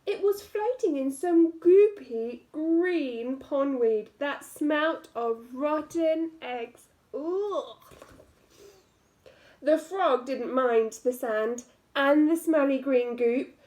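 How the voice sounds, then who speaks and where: Lady teacher reading a kids book, high voice, slow pace, really drags out the important words. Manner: expressive, drawn-out emphasis on key words. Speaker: primary school teacher reading aloud. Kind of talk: animated children's picture-book reading with asides to listeners.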